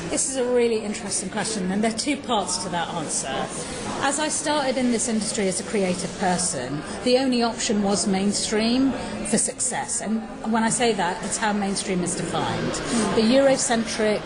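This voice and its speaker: A middle-aged woman with a British accent answers interview questions in long, reflective, articulate turns. Her pitch sits in a middle range for a woman. A loud crowd murmurs behind her. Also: lively intonation, moderate pace, low-quality recording. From East Africa. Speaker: actor.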